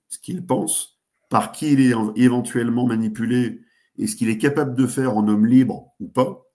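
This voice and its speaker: A man speaking as a guest commentator, giving geopolitical analysis in a talk show, pausing often with fillers and restarts. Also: on the low side, darkish tone. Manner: hesitant, many fillers and restarts.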